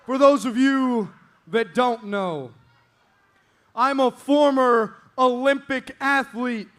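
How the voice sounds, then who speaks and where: Brief: cocky teen male wrestler, very high voice, yelling boasts in an arena. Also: slow. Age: teens. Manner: arrogant, boastful, yelling at the crowd. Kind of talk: wrestling promo. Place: indoor arena.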